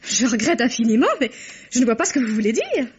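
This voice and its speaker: An actress playing a high-strung, outspoken young woman in an old French radio drama. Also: animated, fast, husky. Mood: puzzled, indignant. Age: young adult. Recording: dull vintage radio recording.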